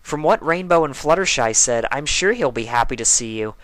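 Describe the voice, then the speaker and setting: A man's voice: mid-range voice, lively intonation, brisk, fairly bright tone, dry recording, voice pushed up, lightly acted, rough recording. Man doing a caring, sincere unicorn mare. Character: thoughtful, caring, studious female unicorn pony. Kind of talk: fan fiction reading of a cartoon.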